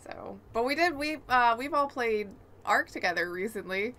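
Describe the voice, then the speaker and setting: A woman's voice: on the high side, expressive, normal speed, sharp tone. A woman co-hosting a podcast, chatting casually about games. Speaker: podcast co-host. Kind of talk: casual gaming chat.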